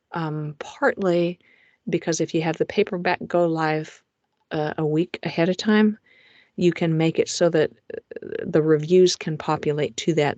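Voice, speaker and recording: A woman's voice: low voice, a little mellow, no echo, lo-fi sound. A middle-aged woman, a romance author, presenting her publishing plans over slides in a chatty way, with frequent fillers and restarts, recorded in a home recording booth.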